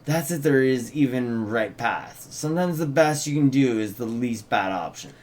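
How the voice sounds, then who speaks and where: A man's voice: medium pitch, expressive, moderate pace, darkish tone, pitched below the performer's own voice, close to an everyday voice. A man voicing a wry, patronizing witch with an old soul, full of fond endearments, in a script read-through. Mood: knowing, gently patronizing.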